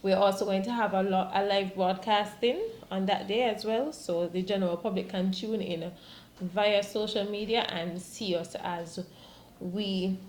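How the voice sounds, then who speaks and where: A woman's voice: medium pitch, fairly even intonation, a little slow, a little mellow. A woman with a Caribbean accent explaining things in an interview as a department spokesperson. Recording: echoey room with clear background noise.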